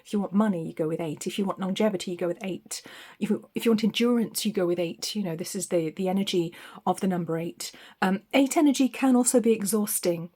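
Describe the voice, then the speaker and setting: A woman giving an intuitive tarot reading to camera, meandering and reflective, with frequent ums and soft restarts, recorded on a phone. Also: mid-range voice, fast talker. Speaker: tarot reader.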